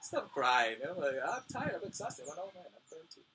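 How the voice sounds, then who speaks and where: A man's voice: a little high, sing-song, a crowd in the background. A young man from Costa Rica and Venezuela, a poker player chatting casually as an interview guest at home, rambling with lots of "like". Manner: fast, rambling, many fillers "like". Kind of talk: casual chat.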